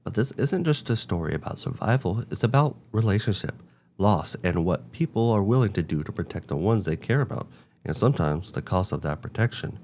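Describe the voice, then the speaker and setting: A man with a fairly low voice hosts a review in a semi-scripted narrating style. His intonation moves widely, with emphatic stress on key phrases. A light layer of background noise sits under the recording. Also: expressive, brisk, darkish tone. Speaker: host of a video game and film review show.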